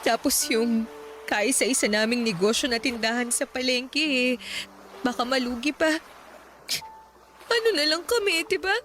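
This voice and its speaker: A woman's voice: sits high, a little slow, pauses often, fairly bright tone, slight background noise. An actress playing a polite, worried young woman caring for her sick father. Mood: sad. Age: young adult. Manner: polite, pleading.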